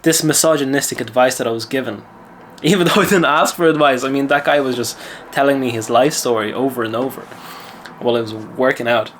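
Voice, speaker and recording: A man's voice: medium pitch, brisk, fairly bright tone, slight background noise. A man from Lithuania with an Irish accent, a mindset coach telling a gym story in casual talk and then giving self-assured advice. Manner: chatty, self-assured, lecturing.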